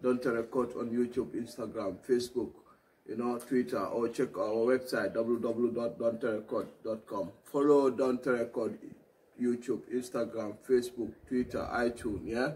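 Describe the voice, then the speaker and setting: A man's voice: medium pitch, steady tone, moderate pace, darkish tone. A man hosting a casual promotional vlog, talking in slangy phrases and repeated catchphrases. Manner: repetitive, slangy Patois phrasing. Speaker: music promoter and vlog host. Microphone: phone camera a few metres away. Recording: noisy, distant phone video audio.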